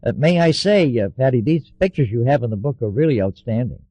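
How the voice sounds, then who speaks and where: A man's voice: medium pitch, expressive, brisk, warm tone, dry recording. A man hosting a radio interview, chatty and repeating words as he starts his sentences. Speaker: radio host.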